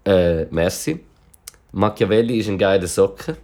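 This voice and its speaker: A man's voice: low-pitched, unhurried, warm tone. A man with a Swiss German accent, co-hosting a podcast and reading listener messages aloud in a casual, giggly way. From Switzerland. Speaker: podcast co-host.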